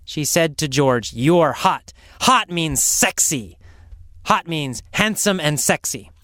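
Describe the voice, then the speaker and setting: A middle-aged male English teacher tells a story to learners on a close mic, in a dry, deadened sound. His voice is high and bright, and it swings widely in pitch. He talks slowly and repeats himself a lot so the listeners can follow. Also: no echo. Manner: slow, animated, with heavy repetition for learners.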